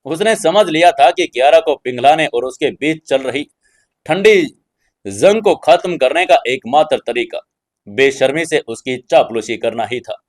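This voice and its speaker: A male narrator reads a scripted audio drama. His voice sits at a medium pitch, telling the story in a dramatic narration style.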